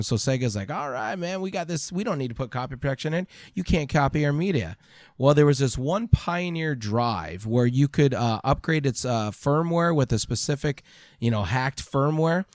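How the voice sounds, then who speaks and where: Guy podcast host, higher-ish voice, chatty storyteller, really dry sound. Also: normal speed, dry recording. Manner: animated storyteller, acts out imagined quotes. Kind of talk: casual chat about video games.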